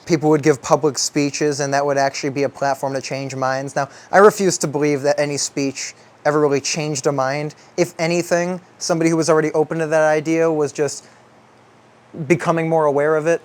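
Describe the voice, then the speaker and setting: A young man with a slightly high voice talks through his thoughts in a loose, reflective monologue. His delivery is halting, and he stretches out words when he wants to stress them. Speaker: video essayist.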